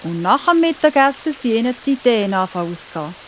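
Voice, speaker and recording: Casting: female storyteller for children's audio play, fairly high voice, Swiss accent, noticeable hiss behind. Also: very animated, fast, low-quality recording. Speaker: narrator.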